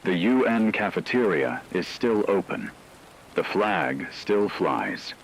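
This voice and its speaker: A man playing a grave radio newsreader in a fictional broadcast, reading scripted reports in a formal, measured, solemn way. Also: low-pitched, animated, slight background noise, heavily acted, rough recording. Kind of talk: scripted news narration.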